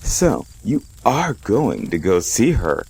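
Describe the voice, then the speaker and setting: Man playing a low, unhurried, wry monk. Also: very animated, a little mellow, slightly rough, exaggerated voice, poor audio. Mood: calm. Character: calm, wry young male monk. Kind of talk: anime fan audio drama. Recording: faint background noise.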